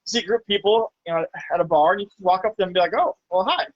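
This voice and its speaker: A man chatting over a video call from his hot tub, talking in a halting way with many restarts. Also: high-pitched, no room sound, highs cut off. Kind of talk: casual chat. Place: home hot tub, outdoors in a storm.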